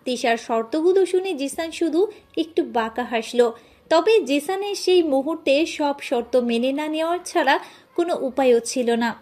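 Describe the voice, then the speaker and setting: High-pitched woman narrating a story. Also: lively intonation, normal speed, a little mellow.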